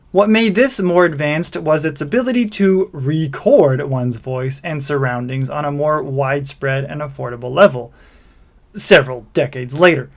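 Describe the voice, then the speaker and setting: A man hosting a video series, reading scripted narration with a theatrical, emphatic delivery. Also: on the high side.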